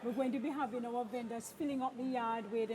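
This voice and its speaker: A woman's voice: fairly high pitch, fairly even intonation, a little fast. A woman hosting a live outdoor event broadcast, upbeat and prompting her co-host with questions. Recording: crowd noise behind. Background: crowd chatter.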